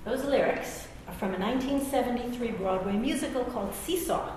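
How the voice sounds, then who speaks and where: A woman's voice: a little high, a little fast, darkish tone. American woman, middle-aged, wry author at a live reading; very reverberant hall, faint noise. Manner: wry, self-deprecating, conversational. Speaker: author and English professor. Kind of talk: public reading of a personal essay, with asides.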